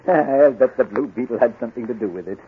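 An actor playing a genial, slightly hesitant doctor and confidant in a 1940s-style radio drama. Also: male voice, mid-range voice, fast, husky. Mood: amused. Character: genial male doctor, hero's amused confidant. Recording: tinny, hissy old radio recording.

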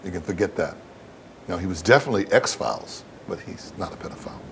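A low-pitched man answers interview questions candidly and bluntly. He leans on his words with emphasis and repeats phrases to drive them home. There is some background noise under the voice. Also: lively intonation, fast talker, gravelly.

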